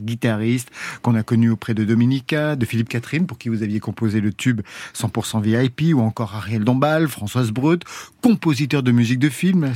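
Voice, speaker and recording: A man hosting a radio music show in a studio, announcing guests in a smooth scripted way. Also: mid-range voice, a little mellow. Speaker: radio host. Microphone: studio microphone.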